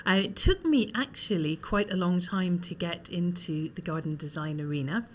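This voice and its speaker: A Scottish woman in her forties, a guest telling her story in a relaxed, chatty way with many ums. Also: fairly low pitch, moderate pace, a little hiss or hum. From Scotland.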